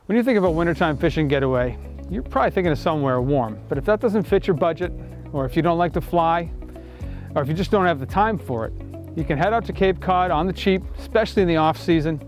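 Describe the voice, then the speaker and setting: Male presenter, forties, casual outdoor piece to camera, raised pitch, light music bed. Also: lively intonation, quick pace. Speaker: magazine editor presenting. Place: outdoors on a lakeside beach.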